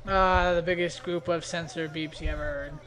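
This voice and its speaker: A man's voice: a little high, fairly even intonation, normal speed. A man giving casual, off-the-cuff video commentary in a hesitant, rambling, self-deprecating way. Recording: noisy amateur home recording.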